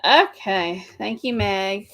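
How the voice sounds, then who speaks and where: A woman's voice: medium pitch, takes it slowly, a bit bright, a little hiss or hum. A middle-aged woman, hosting a casual live stream and chatting with viewers while laughing often.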